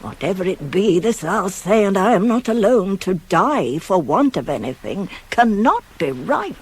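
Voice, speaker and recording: A woman's voice: medium pitch, very animated, darkish tone, slightly rough. An actress playing a devoted, worldly-wise older nurse to a queen in a radio Greek tragedy, theatrical and insistent. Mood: grave, worried. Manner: theatrical, insistent, measured, weighty phrasing. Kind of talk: 1980s radio drama acting of Greek tragedy.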